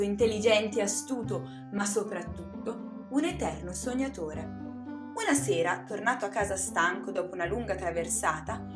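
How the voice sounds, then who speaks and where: A woman narrating a scripted children's story in an expressive, dramatic storytelling manner. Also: medium pitch. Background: music.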